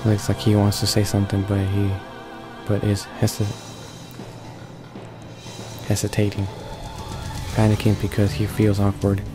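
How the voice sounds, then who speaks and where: A man with a fairly low, very monotone voice reads a visual novel aloud. He goes haltingly, tripping over words and repeating them, with game music clearly playing behind him. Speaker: let's play narrator. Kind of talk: read-along of a visual novel.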